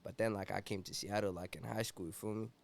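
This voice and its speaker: A man's voice: on the low side, fairly even intonation, quick pace, vocal fry. A young man from Ethiopia, a rapper guest in a casual interview, talking laid-back and slangy with constant "you feel me" fillers.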